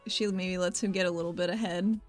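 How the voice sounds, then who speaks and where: A woman's voice: fairly low pitch, fairly even intonation, fairly quick. A woman improvising her character's actions in a tabletop roleplaying game, in an informal, giggly way. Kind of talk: casual improvised roleplay narration. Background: background music.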